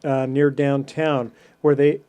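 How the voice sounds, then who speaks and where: A man with a soft, mellow tone hosts a relaxed podcast chat. He wanders through his thoughts, leaning on "you know" and "um" as he goes. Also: medium pitch, slow, lo-fi sound. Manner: rambling, full of fillers. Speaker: podcast host. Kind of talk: casual chat.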